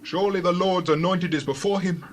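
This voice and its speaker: Man performing righteous prophet, solemn, rebuking, declamatory, higher male pitch, audio scripture drama, light noise. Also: fairly even intonation, fairly quick, close to an everyday voice.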